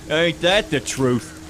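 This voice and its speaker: An actor playing an unfeeling, gossiping merchant in an audio drama, offhand and folksy. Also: male voice, fairly high pitch, drawn-out words, over music, rough recording. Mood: amused.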